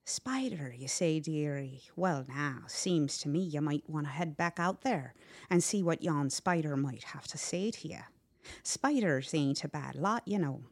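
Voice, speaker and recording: A woman voicing a wise, kindly old country servant in a storybook, folksy, coaxing and unhurried. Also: low-pitched, animated, exaggerated voice.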